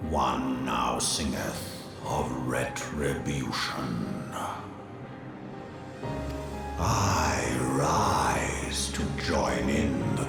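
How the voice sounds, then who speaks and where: An actor voicing an ancient, colossal dragon in a video game, proud and menacing, with a booming gravelly voice and archaic, imperious speech. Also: male voice, exaggerated voice. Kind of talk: theatrical video game voice acting. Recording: cavernous echo, distant, loud music.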